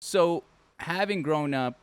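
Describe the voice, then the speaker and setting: A man's voice: a little high, takes it slowly, clean tone. A man hosting a podcast interview, earnest and rambling, with many repeats and fillers. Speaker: podcast host. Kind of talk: casual interview questions.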